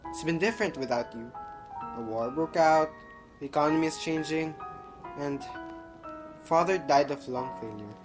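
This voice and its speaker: A man's voice: fairly high pitch, normal speed, long pauses, over music, natural voice. A man playing a dutiful, earnest brother and doctor in an amateur audio drama, with formal phrasing. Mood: reflective.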